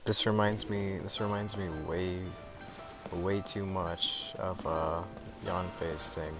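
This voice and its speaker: A young man with a low voice doing casual game commentary. He rambles, restarts and circles back on his words while loud music plays behind. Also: little pitch movement, takes it slowly. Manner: rambling, hesitant, repeats himself. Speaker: gaming video creator.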